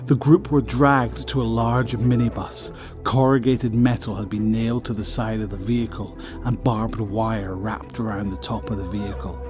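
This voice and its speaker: Low-pitched male narrator over clear music. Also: steady tone, moderate pace. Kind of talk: scripted story narration.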